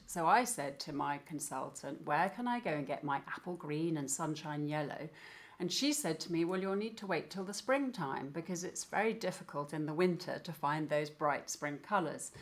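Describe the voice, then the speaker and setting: A woman's voice: fairly low pitch, a bit bright. A middle-aged woman telling her story as an interview guest, enthusiastic. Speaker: guest, company founder. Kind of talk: interview answers.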